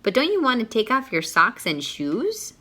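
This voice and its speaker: A woman's voice: fairly high pitch, wildly swooping pitch, moderate pace, sharp tone, exaggerated voice. A woman voicing a cheerful, helpful little shopgirl in a storybook, polite and chatty. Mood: bright, encouraging.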